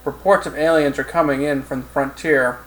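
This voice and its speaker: A man's voice: fairly high pitch, fairly even intonation, a little fast, a bit bright, lightly acted, poor audio. Male narrator as female Marine admiral, formal American accent, tough, dry, audiobook character. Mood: reluctant.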